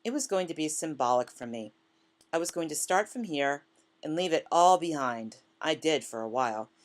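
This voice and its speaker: A woman reading the part of a quiet, melancholy male office worker in a short story, hesitant and musing. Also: low voice, animated, moderate pace. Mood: wistful.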